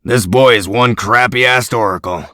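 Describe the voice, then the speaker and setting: A man's voice: medium pitch, measured pace, pushed and bright, no room sound. Irritable hard-boiled man played by an actor, mid-range voice, grumbling sarcasm, comic dub, dry sound. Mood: irritated, sardonic. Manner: sardonic, grumbling. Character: gruff, cynical, irritable male detective type.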